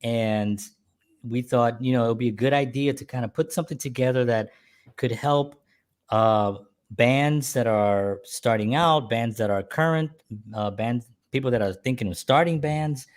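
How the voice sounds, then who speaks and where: A man's voice: mid-range voice, normal speed. Casual middle-aged man hosting, rambling and jokey. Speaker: show host and musician. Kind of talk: casual reminiscing chat.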